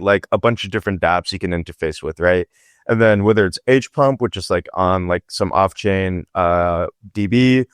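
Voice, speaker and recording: A man's voice: fairly low pitch, clear, resonant tone, no echo, clean studio sound. A man from Pakistan, a startup founder as podcast guest, talking casually with lots of "like" and "right?".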